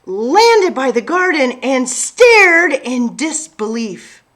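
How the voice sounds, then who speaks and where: A woman reading a picture book aloud to children, narrating expressively with deliberate, dramatic phrasing. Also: high-pitched, very animated, a bit bright. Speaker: children's story-time reader.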